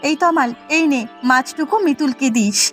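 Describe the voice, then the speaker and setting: A woman's voice: sits high, moderate pace, faint music behind, lightly acted. A woman playing a firm mother in a cartoon who stands up for her son, remonstrating and indignant. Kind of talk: Bengali cartoon voice acting.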